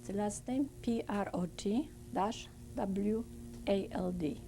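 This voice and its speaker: A woman in her seventies from Poland with a Polish accent, giving halting, slow oral history testimony in non-native English. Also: mid-range voice, vocal fry, warm tone, slight background noise. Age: 70s. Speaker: interviewee.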